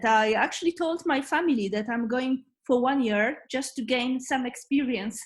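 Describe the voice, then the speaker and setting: A woman from Poland with a Polish accent, a guest in a casual interview, speaking earnestly and often pausing with fillers. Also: fairly high pitch. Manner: many fillers and restarts, laughs easily, warm and earnest.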